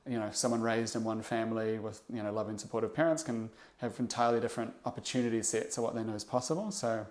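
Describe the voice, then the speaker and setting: A young man from New Zealand with a New Zealand accent, a podcast guest talking in a reflective, halting way with many fillers and restarts. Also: fairly low pitch, fairly even intonation, darkish tone. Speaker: interview guest, investor. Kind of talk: casual podcast interview.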